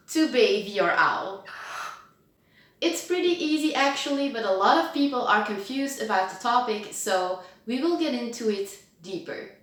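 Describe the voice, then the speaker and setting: Woman, 30s, from Belgium, chatty home vlogger, fairly high voice, very echoey kitchen. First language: Dutch. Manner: chatty, bubbly, talks to her owl and viewers. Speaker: owl-keeping vlogger. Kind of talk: casual tutorial vlog. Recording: very echoey room.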